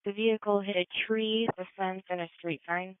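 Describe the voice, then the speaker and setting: A woman's voice: mid-range voice, fairly even intonation, moderate pace, clear, resonant tone, no echo. A woman working as a police dispatcher, reading out details procedurally over a radio scanner channel. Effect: radio transmission. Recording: tinny narrow-band radio scanner.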